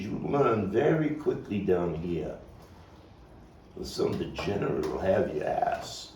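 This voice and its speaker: An actor playing a weary, foul-mouthed, combative neighborhood philosopher in a halting, emphatic stage monologue, his voice gravelly. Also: fairly low pitch. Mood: brooding. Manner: rambling, profane, halting, emphatic street talk. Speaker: playwright and actor. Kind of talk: theatrical monologue at a table read. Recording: distant mic, roomy echo, faint noise.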